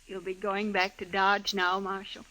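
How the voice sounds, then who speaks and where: An actress playing a dignified, composed American frontier widow, restrained and direct, in an old-time radio western. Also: female voice, medium pitch, monotone, normal speed, sharp tone, lightly acted, rough recording. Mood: quiet, grateful.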